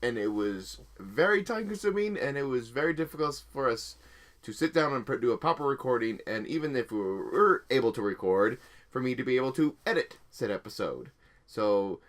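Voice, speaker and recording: A man's voice: mid-range voice, expressive, normal speed. A young man hosting a casual podcast, rambling through stories and laughing often. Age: young adult. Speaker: podcast host and editor. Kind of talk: casual chat.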